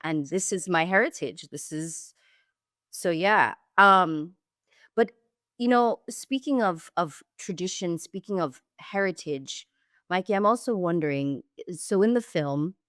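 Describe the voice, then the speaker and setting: Woman, podcast host, animated, emphatic, dry close sound. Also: fairly low pitch, a little slow, no room sound. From Jamaican and Guyanese heritage, first generation in the US. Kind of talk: conversational interview.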